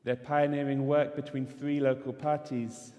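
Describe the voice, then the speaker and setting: A man giving a measured, earnest sermon as a rabbi in a synagogue. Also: medium pitch, level tone, dark tone. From not Israel. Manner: measured, earnest preaching cadence. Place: synagogue sanctuary. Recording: long hall reverb.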